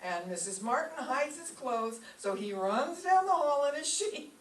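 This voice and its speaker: Sensible, wry female roommate played by a woman, measured pace, old radio sitcom, far-off roomy sound. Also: sits high, very animated. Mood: amused. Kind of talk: stage re-creation of radio sitcom. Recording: echoey stage recording from far off.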